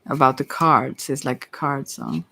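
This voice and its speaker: Low-voiced woman giving a soft tarot reading. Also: very animated, measured pace, gravelly. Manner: soft-spoken, rambling, hesitant, giggly asides. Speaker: tarot reader and ASMR host. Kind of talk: casual ASMR tarot reading.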